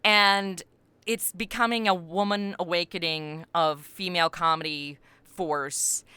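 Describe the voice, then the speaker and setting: A woman's voice: fairly low pitch, fairly even intonation, a little slow, sharp tone, clear, resonant tone, dry recording. A middle-aged woman chatting casually as a guest, promoting her comedy writing. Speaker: comedy writer, podcast guest. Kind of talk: casual promotional interview chat.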